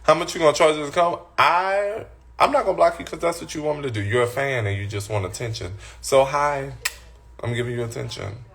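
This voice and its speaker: A man hosts a casual livestream, reading viewer comments and firing back with blunt, sassy replies. His voice sits at a middling pitch with a noticeably gravelly, fried texture, picked up on a phone mic. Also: a little fast, fairly bright tone. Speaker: livestream host.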